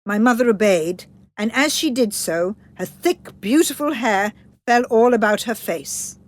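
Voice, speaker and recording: Slow, expressive woman storyteller. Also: fairly high pitch. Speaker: narrator reading a children's textbook story. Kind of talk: scripted storybook narration, read-aloud.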